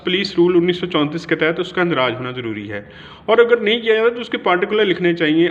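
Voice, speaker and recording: A man with a Pakistani accent giving an informal explanatory law lecture that mixes in English legal terms, in a halting, repetitive way. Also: high voice, a bit bright. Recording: dull, lo-fi audio.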